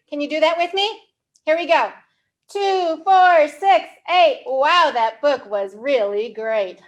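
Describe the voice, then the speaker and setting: A woman hosting a storytime for young children, reading aloud in an animated way with simple, exaggerated, encouraging questions. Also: sits high. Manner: slow, simple wording for English learners. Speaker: children's storytime librarian host.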